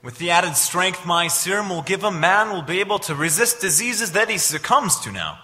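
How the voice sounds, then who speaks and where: A man plays a secretive young scientist in a 1940s-style radio drama. His earnest, stagey voice is bright and slightly high, with hall reverb around it. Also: very animated, normal speed, full character voice. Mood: confident.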